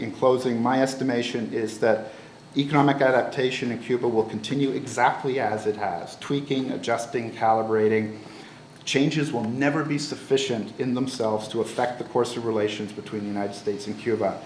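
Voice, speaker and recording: A man delivers a measured, formal talk from a panel stage, stumbling on a word here and there. His voice is middle in pitch and echoes around a large auditorium. A faint background noise lies beneath. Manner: measured, formal, some repeated words. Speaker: panel speaker. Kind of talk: conference presentation. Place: lecture auditorium. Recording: roomy hall with faint noise.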